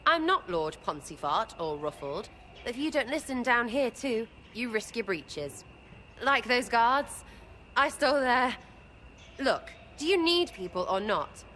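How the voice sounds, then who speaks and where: A cheeky thief archer. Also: female voice, on the high side, a bit bright, slight background noise, exaggerated voice. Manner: brash, mocking, slangy and crude. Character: cheeky irreverent young female thief archer. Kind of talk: video game cutscene acting.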